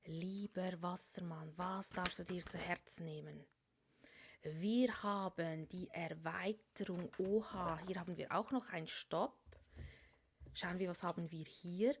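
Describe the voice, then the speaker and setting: A woman giving a tarot reading for a star sign, speaking softly and often dropping into a whisper over the cards. She has a cold. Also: lowish voice, slow pace, a little hiss or hum. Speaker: tarot reader.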